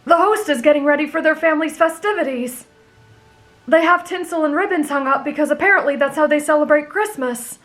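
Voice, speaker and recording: A woman voicing a kind, naive teenage boy demon slayer with a gentle, sincere way of explaining things, in an anime fan audio roleplay. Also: high-pitched, fairly quick, faint music behind. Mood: kind, explanatory.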